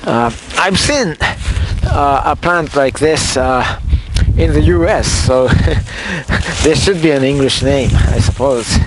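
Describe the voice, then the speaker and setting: A man's voice: a little high, lively intonation, takes it slowly, background noise. A man with a Japanese accent, a native Japanese speaker, rambling and correcting himself with little chuckles as he narrates his outdoor work. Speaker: vlogger. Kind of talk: casual narration while working outdoors. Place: hilltop clearing outdoors in cold weather.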